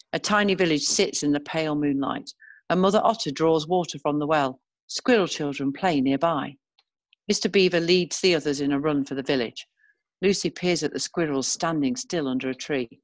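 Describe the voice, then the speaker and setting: A woman narrator reading scene descriptions as scripted screenplay narration. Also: low voice.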